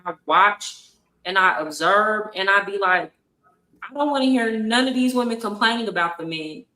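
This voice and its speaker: Blunt, bright-voiced woman in an echoey room. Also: medium pitch, moderate pace. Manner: blunt, emphatic, slangy. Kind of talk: casual opinion chat.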